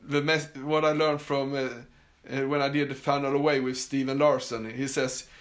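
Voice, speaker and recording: A man's voice: medium pitch, level tone, normal speed. A man from Sweden with a Swedish accent, hosting a casual solo podcast from his summer house, talking with many fillers and restarts. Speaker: podcast host.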